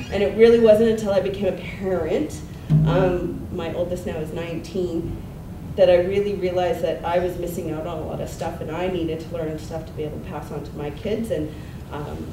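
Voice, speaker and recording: A woman in her forties from Nunavut, introducing herself on a panel in an open, conversational way. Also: fairly low pitch. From Nunavut, Canada. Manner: open, conversational, laughs easily. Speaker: symposium presenter. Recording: echoey hall, distant mic, noisy.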